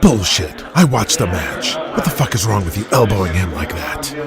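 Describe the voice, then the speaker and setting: An actor playing a hard-nosed, commanding wrestling promotion boss in an audio drama. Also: male voice, on the low side, very animated, moderate pace, over loud music. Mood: angry, reproachful. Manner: blunt, commanding.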